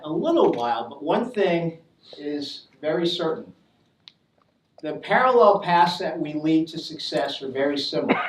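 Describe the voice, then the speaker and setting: A man hosting a college business event, speaking casually and joking with the students. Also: on the high side. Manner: upbeat, joking with the audience. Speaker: college faculty host. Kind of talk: casual introductory speech. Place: lecture hall. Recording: roomy hall, picked up from a distance.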